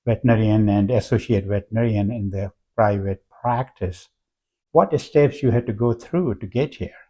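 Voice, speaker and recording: An older man, a retired professor, interviewing a guest in a measured, formal way with frequent hesitations. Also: mid-range voice, darkish tone.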